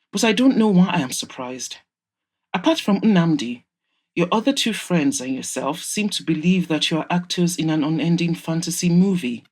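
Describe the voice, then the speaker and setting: Woman playing an exasperated, sharp-tongued rich mother. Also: on the low side. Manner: scolding, sarcastic, emphatic. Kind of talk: audio drama storytelling.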